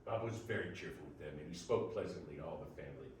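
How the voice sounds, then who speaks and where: A man's voice: a bass voice, fast. A man giving scripted storytelling narration as narrator of a readers theater piece. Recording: very echoey room, distant mic, faint noise.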